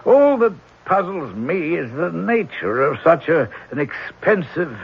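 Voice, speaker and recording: Fussy, creaky-voiced company man. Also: fairly high pitch, big pitch swings, a little slow, full character voice. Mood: probing, hesitant. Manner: stammering, apologetic, obsequious. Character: fussy, deferential, probing company official. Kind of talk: old-time radio drama acting. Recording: muffled old radio broadcast recording.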